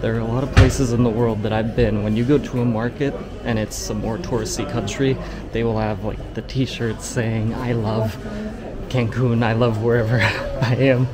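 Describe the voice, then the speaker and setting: A young man chatting casually as a travel vlogger while walking through a large covered market, filmed with a camera at arm's length. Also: mid-range voice. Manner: upbeat, chatty, laughs often. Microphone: action camera at arm's length. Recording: loud background noise. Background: busy market noise.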